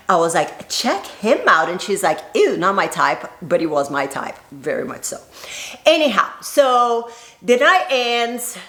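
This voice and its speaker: Woman vlogger from Brazil, 30s, mid-pitched, chatty storyteller, echoey room. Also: a bit bright. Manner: chatty, laughs often, playful quoted voices. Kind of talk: casual storytelling to camera.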